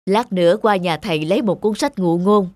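A woman voicing a kindly, concerned male schoolteacher in a children's cartoon dub, speaking gently and earnestly. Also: medium pitch, a little fast, dry recording, natural voice. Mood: kind, proud.

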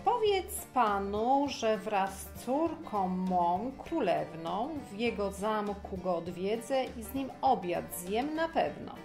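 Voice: mid-range voice, expressive, slow pace, hardly any pauses, over music. A woman playing a food-loving, gracious and imperious king with stately rhymed speech in a children's audio fairy tale. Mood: gracious, pleased.

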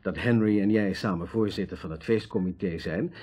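An actor playing a polite, thoughtful young tutor in a Dutch radio drama. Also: male voice, deep voice, a little fast, dark tone, husky. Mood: curious, polite.

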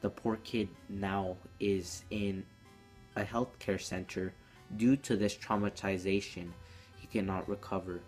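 A man's voice: low-pitched, steady tone, unhurried, a little mellow, faint music behind. A teenage young man telling a story casually to camera in a small room indoors, as a vlogger.

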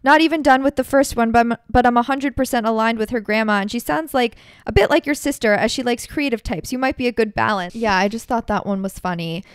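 A woman's voice: on the high side, fast, hardly any pauses, smooth, no room sound, studio quality. A young woman hosting a podcast in casual chat, upbeat and asking questions. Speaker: podcast host.

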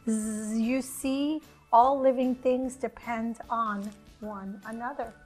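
A woman's voice: fairly high pitch, fairly even intonation, slow pace, darkish tone, faint music behind. A woman voicing a busy little bee in a storybook, with buzzing between phrases. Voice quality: buzzing zzz sounds.

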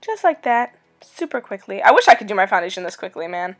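A young woman in her twenties with a raspy voice from a cold, chatting upbeat through a makeup tutorial as a beauty vlogger. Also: medium pitch, very animated, quick pace, faint music behind.

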